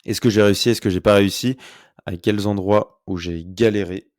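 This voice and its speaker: A man's voice: on the low side, fairly even intonation, a little fast, darkish tone, no echo, clean studio sound. A young man hosting a casual solo podcast from home, talking through a blocked nose with a sore throat, chatty and upbeat with many fillers. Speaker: podcast host. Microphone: close podcast mic.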